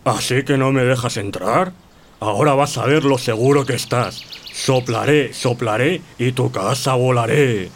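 An actor playing the boastful, menacing big bad wolf in a children's radio play, with theatrical howls. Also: male voice, mid-range voice, unhurried, slight background noise, lightly acted. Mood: threatening.

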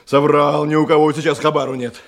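A man's voice: a little high, little pitch movement, brisk, vocal fry. A man in a Russian radio drama playing an evasive, servile criminal underling who hesitates, stammers and stalls. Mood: placating, evasive. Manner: hesitant, stammering, repeats questions back.

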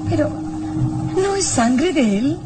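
High-pitched, anxious radio heroine. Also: female voice, animated, very slow, roomy sound, very noisy, full character voice. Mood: bewildered. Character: distressed, horrified young heroine, anxious and tender. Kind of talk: Spanish-language radio serial acting.

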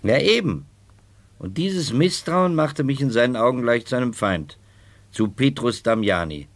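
An actor playing a sceptical, sardonic gentleman with a dry, dismissive manner in a radio play. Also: mid-range voice, lively intonation, moderate pace, lightly acted. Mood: exasperated. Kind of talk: 1970s German radio drama acting.

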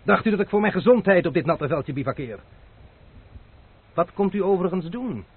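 A proud, quick-tempered courier, with a slightly high, husky voice and a biting, sarcastic way of talking. The recording is narrow and phone-like, as in an old radio play. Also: male voice, lively intonation, heavily acted. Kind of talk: vintage radio drama acting.

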